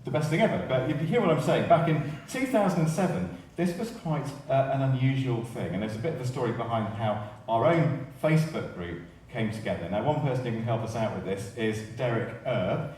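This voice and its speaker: A man's voice: medium pitch, animated, a little fast, few pauses, darkish tone. A male radio host chats jovially and wanders off into asides as he interviews guests on stage. He sounds distant from the mic in a very reverberant theatre, with faint noise behind him. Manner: chatty, digressive, jovial. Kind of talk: live presenting and interviewing before an audience. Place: large radio theatre with live audience.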